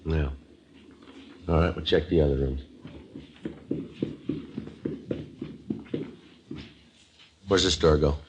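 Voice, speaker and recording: An actor playing a terse, matter-of-fact American police detective who also narrates a 1950s radio drama. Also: male voice, a bass voice, steady tone, moderate pace, a little hiss or hum, low-quality recording. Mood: commanding. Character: male Los Angeles police detective, terse and businesslike. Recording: old radio recording with music.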